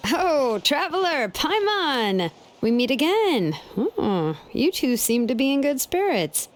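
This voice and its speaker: A woman playing an easygoing young female blacksmith in a video game, with a relaxed, wry way of talking. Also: high-pitched, slow pace, exaggerated voice. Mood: friendly, casual. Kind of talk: video game character acting. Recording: game music behind. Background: game music.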